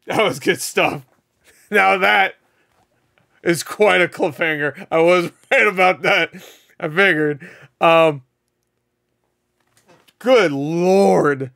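Man in his 30s, reaction host on headset, slightly high voice, excitable and foul-mouthed, a bit hoarse. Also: takes it slowly, pauses often, no room sound, clean studio sound. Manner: excitable, swears a lot, laughs often. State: losing his voice. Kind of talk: casual reaction commentary. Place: home room, indoors.

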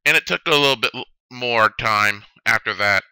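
Thirties male, solemn preaching testimony, very bright and crisp voice, dry close sound. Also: medium pitch, no room sound, poor audio. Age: 30s. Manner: solemn, drawn-out preaching cadence with sudden stresses. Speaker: video testimony speaker. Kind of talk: earnest religious testimony monologue.

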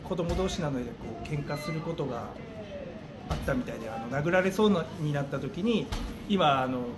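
Slightly high-voiced man over noisy ambience. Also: animated. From Japan. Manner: hesitant, thinking aloud with many pauses. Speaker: gym owner and martial arts coach. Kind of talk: interview answers. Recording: clearly noisy room ambience.

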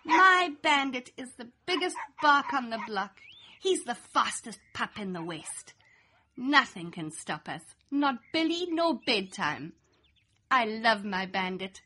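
A young adult woman reads a rhyming picture book aloud, her voice high and bright with a slightly breathy edge. She takes her time, letting her pitch swing widely and playfully through each line. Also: slow. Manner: lively, playful, sing-song storytelling. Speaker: storybook reader. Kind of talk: expressive read-aloud children's story in rhyme.

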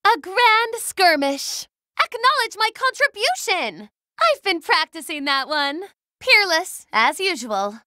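A woman's voice: very high-pitched, big pitch swings, takes it slowly, pushed and bright, no room sound, clean studio sound, heavily acted. A woman voicing a haughty young noblewoman mage in a video game, theatrical and proud, with formal, lofty diction. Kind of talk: video game battle voice clips.

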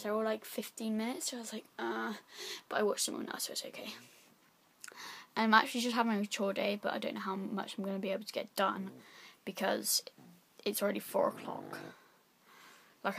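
A teenage girl with a croaky voice, chatting rambly into a phone held at arm's length, saying "like" a lot. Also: mid-range voice. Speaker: vlogger. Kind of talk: casual vlog. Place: bedroom, then back garden.

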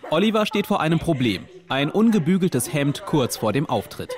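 A man narrating a television documentary in calm, even scripted voice-over. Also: on the high side, moderate pace.

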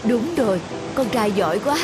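A man playing a loving young architect father in a cartoon, soft and reflective. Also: sits high, lively intonation, normal speed. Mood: warm, reflective. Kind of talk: animated moral tale dialogue. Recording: music behind the voice.

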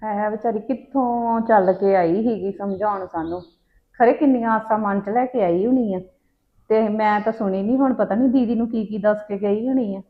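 A woman's voice: mid-range voice, normal speed, narrow, tinny audio, close to an everyday voice. A woman playing a cheerful, easygoing young wife who teases and jokes in a home comedy sketch. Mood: playful. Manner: playful, teasing.

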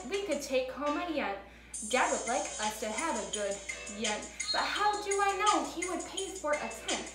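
A young woman reading a children's story in a playful, singsong, rhyming delivery, as a preschool storyteller. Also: sits high. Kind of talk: rhythmic children's story reading over a beat. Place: small room indoors, at a table. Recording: echoey room with music beat. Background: hip-hop beat.

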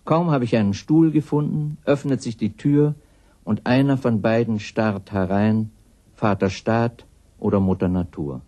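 A man's voice: on the low side, unhurried, dark tone. An actor delivering a literary radio monologue as a sardonic, exasperated man with a dry manner. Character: sardonic, exasperated narrator disgusted by his parents.

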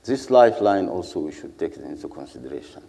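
An older man with a Sudanese accent speaking as a panelist, in a measured, deliberate way with earnest rhetorical repetition. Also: low voice, very animated, gravelly, mellow. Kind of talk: panel speech, earnest public address. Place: seated on a stage in a large hall. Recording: echoey hall with long reverb.

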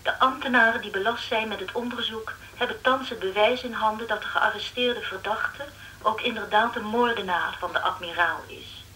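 An actress playing a businesslike radio station assistant, reporting formally and factually over an intercom in a radio drama. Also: female voice, fairly high pitch, moderate pace, sharp tone. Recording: distant, hissy radio line.

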